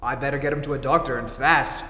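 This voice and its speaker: A man's voice: mid-range voice, fairly even intonation, quick pace, pushed and bright, natural voice. A man playing an earnest, upright reporter hero in theatrical 1940s radio-play style. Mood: alarmed, heroic. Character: Clark Kent, upright concerned adult male reporter hero. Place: theatre stage before an audience. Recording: echoey hall, faint stage noise.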